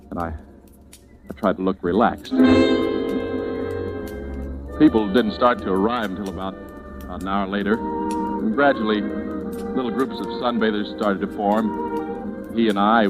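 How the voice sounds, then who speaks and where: An actor playing an anxious young American man with a halting delivery in a 1940s radio suspense drama. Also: on the low side, lightly acted. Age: young adult. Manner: halting, repeats words. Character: anxious young man secretly hiding a killing. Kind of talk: 1940s radio acting, first-person suspense narration. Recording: old radio recording with dramatic music.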